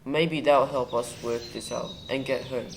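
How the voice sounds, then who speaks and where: A man's voice: medium pitch, fairly even intonation, a little slow, creaky. A male performer playing a keen, helpful boy hero in an amateur school radio play. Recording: faint noise, birdsong behind.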